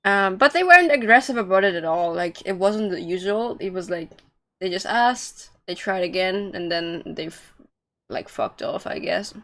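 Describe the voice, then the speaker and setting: A woman's voice: mid-range voice, expressive, normal speed, fairly bright tone. A woman livestreaming a game, chatting informally in a rambling way. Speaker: game streamer. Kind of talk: casual livestream commentary. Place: livestream with game music behind.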